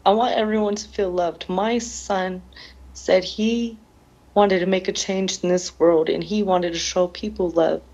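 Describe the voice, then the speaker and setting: Woman, lowish pitch, grieving, earnest and plain-spoken, repetitive phrasing, dull lo-fi audio. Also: steady tone, unhurried, a little mellow. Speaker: bereaved mother being interviewed. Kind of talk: heartfelt interview answers.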